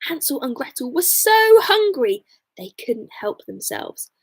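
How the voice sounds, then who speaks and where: A woman reading a children's bedtime story expressively, drawing out words and dropping into hushed, dramatic asides. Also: sits high, sing-song, a little slow, breathy edge.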